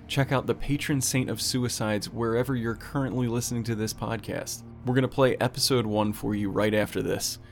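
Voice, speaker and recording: A man's voice: lowish voice, vocal fry. A man hosting a podcast, giving listeners a friendly promo read. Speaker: podcast host.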